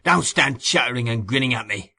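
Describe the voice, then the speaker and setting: A man's voice: mid-range voice, lively intonation, fairly quick, vocal fry, sharp tone, breathy edge, exaggerated voice. A man voicing a sick, brutish grown thug who growls and snaps curtly. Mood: gruff, savage. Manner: growling, savage and curt.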